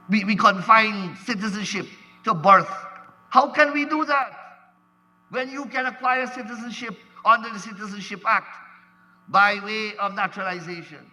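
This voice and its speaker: A man arguing a point forcefully in a parliamentary debate, using rhetorical questions. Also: sits high, big-room sound, low-quality recording. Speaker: attorney general. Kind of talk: parliamentary speech.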